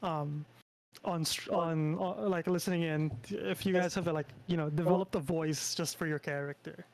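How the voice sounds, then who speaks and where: A man's voice: fairly high pitch, fairly even intonation, normal speed, creaky. A young Filipino man chatting casually on a stream, with lots of fillers and restarts. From Philippines. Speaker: streamer and VTuber host. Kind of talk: casual collab stream chat.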